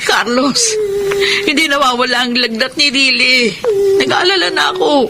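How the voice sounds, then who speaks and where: A woman's voice: moderate pace, gravelly, very noisy. An actress playing a poor wife and worried mother who scolds her husband, in a radio drama. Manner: scolding, shrill.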